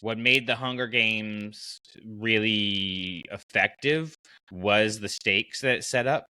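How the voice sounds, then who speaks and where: Bright-voiced man chatting on a podcast. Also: lowish voice, slow pace, no room sound. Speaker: podcast host. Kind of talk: casual podcast chat about fiction.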